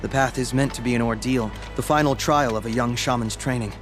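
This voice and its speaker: An actor playing an earnest young apprentice shaman in a video game, humble and measured as he speaks. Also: mid-range voice, steady tone, lightly acted. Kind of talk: video game cutscene dialogue. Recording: game audio with loud music.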